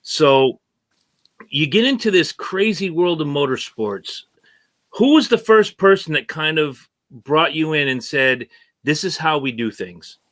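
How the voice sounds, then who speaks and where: Man, podcast host, bright forceful tone, jokey and enthusiastic. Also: a little high, expressive, a little slow. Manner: jokey, enthusiastic, self-deprecating. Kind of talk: casual interview chat.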